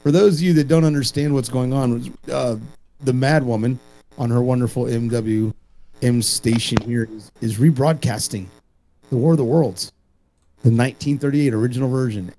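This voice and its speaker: A man co-hosting a casual show, joking and swearing freely in an animated, streetwise way. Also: medium pitch, normal speed, slight background noise, rough recording. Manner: animated, profane, streetwise ribbing. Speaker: co-host.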